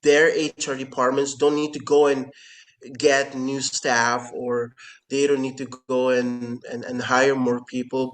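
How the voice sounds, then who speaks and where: Curacao-guy, papiamento speaker, earnest, keeps restarting words, mid pitch, remote call. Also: poor audio. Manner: earnest, repeats words as he searches for phrasing. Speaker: company founder, interview guest. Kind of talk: explanatory business conversation.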